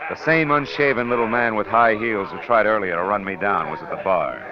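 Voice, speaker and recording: Casting: male actor as cynical wisecracking private eye, deep voice, old radio noir, muffled sound. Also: a little fast, a crowd in the background, natural voice. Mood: brooding narration. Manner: wry, clipped tough-guy slang. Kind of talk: old-time radio detective acting with first-person narration. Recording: muffled old radio recording with prominent music.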